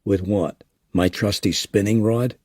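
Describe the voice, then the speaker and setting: Man playing a gruff weary police chief. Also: on the low side, steady tone, a little slow, a little mellow, no room sound, studio quality. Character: weary, guilt-ridden small-town police chief, man. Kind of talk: audiobook character reading.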